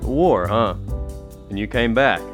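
Man playing a smooth, probing gentleman dealmaker over music. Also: lowish voice, lively intonation. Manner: measured, formal, probing. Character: smooth, probing businessman host, genteel and controlling. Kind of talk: 1930s radio drama acting. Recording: prominent music under the voice.

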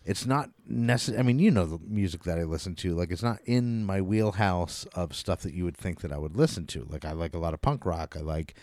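A man's voice: low-pitched, animated, fairly quick, hardly any pauses, a little mellow, no echo. A middle-aged man hosting a podcast, talking about music in a casual, rambling, enthusiastic way with the odd swear word. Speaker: podcast host.

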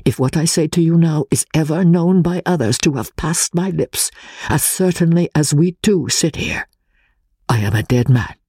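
A man's voice: a little high, moderate pace, darkish tone, husky, no room sound, studio quality, pitched above the performer's own voice. A man voicing an intense Italian political refugee confiding a dread secret, grave and oratorical, in a dramatic audiobook reading.

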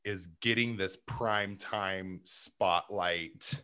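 A man's voice: deep voice, drawn-out words, clean tone. A man co-hosting a sports podcast, chatting casually and joking at his own expense while breaking down games. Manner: playful, self-mocking, animated analysis. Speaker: podcast co-host.

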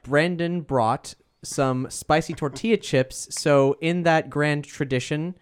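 A man's voice: a little high, slow pace, clear, resonant tone. A man hosting a podcast, chatting casually to introduce a group. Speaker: podcast host. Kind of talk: casual hosted group chat.

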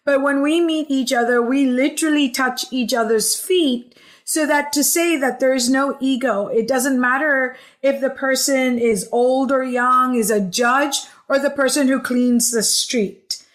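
A woman's voice: high voice, steady tone, a little slow. A woman in her forties, from Hong Kong, chatting enthusiastically as a podcast guest and telling her life story with frequent "like" and "um". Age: 40s. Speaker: podcast guest, healing coach. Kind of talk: conversational storytelling interview.

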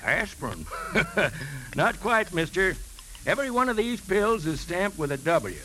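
Chatty shopkeeper, 1950s radio play, forceful tone, higher-pitched, knowing, noisy vintage recording. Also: male voice, very animated, a little slow, exaggerated voice. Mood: amused, knowing. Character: chatty, chuckling male shopkeeper selling poisons.

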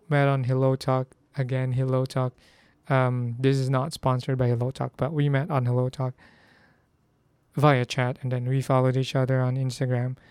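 A hoarse young man from the Philippines, whose first language is Filipino, chatting casually about learning Korean and stopping to restart his sentences. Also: mid-range voice, darkish tone, dry recording. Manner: chatty, self-correcting, reads phrases aloud.

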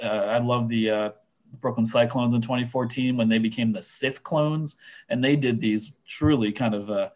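A man whose voice is going hoarse, chatting casually on a sports podcast with lots of fillers and restarts. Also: mid-range voice, darkish tone. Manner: rambling, many fillers and restarts. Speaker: minor league baseball writer guest.

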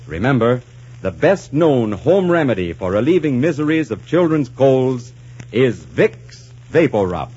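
A man's voice: medium pitch, sing-song, a little slow, a little mellow, breathy edge. A man announcing in 1940s radio style, with polished, emphatic salesmanship. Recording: muffled old 1940s radio broadcast.

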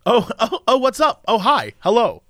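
A man with a high-pitched voice co-hosts a comedy podcast in a loose, rambling style. His delivery swings up and down playfully, and the recording is dry and close. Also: huge pitch swings, moderate pace, bright, forceful tone, dry recording. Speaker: podcast co-host. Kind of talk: casual comedic podcast chat.

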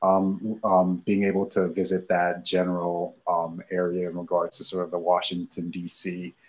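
Man, very deep voice, monotone, measured, filler-heavy. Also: low-quality recording. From Nigeria. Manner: measured, many fillers and hedging phrases. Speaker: startup founder, guest. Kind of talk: interview answers.

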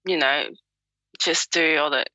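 A woman's voice: low voice, measured pace, pushed and bright, no room sound, rough recording. A woman in her thirties from Hong Kong, with a Hong Kong accent, answering interview questions in a frank, chatty way and laughing often. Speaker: author and guest.

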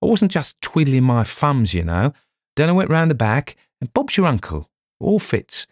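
A man's voice: mid-range voice, sing-song, moderate pace, dry recording, studio quality, voice pushed up. A man voicing a lazy, foul-tempered police detective who grumbles and blusters coarsely. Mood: blustering.

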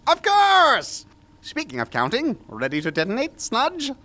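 An actor playing a pompous, oblivious mad scientist in an audio drama, speaking in theatrical, drawn-out phrases. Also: male voice, extremely high pitch, huge pitch swings, bright, forceful tone, exaggerated voice. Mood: grandiose. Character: grandiose, oblivious mad scientist, male, giddy with his schemes.

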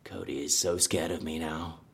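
An actor in an audio drama playing a vengeful, obsessive horror theme park designer, bitter and grandiose, with a husky voice. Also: male voice, a bass voice, fairly even intonation, normal speed, exaggerated voice. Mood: menacing, resentful. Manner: brooding, bitter, grandiose, prone to outbursts.

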